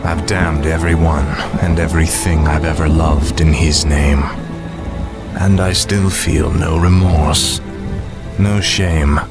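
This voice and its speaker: An actor playing a fallen young prince turned death knight, grim, cold and commanding, in a video game cutscene. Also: male voice, extremely low pitch, steady tone, slow pace, heavily acted. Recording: loud music behind the voice.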